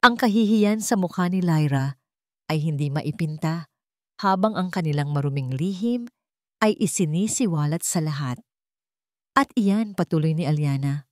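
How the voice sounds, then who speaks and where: Low-voiced Filipino woman narrating a dramatic story. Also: no room sound, studio quality. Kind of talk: scripted dramatic narration of a romance revenge story.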